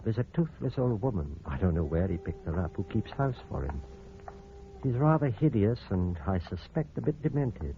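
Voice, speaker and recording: An actor playing a grave, considerate doctor in an old-time radio drama, speaking in a measured, explanatory way. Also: male voice, on the low side, very animated, creaky. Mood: calm, matter-of-fact. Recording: muffled, hissy old radio recording.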